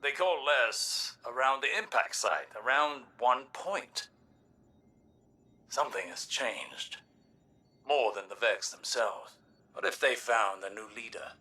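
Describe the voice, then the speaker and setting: A man's voice: medium pitch, slow, sharp tone, distant mic. A man voicing a grave, intense older scholar in a video game, formal and emphatic.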